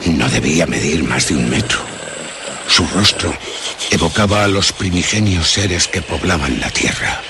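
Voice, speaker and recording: A man's voice: very deep voice, expressive, noisy background. A man playing a haunted narrator who solemnly recalls a monstrous attack in a radio horror drama. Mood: dread, horror. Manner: ornate, solemn, deliberate storytelling.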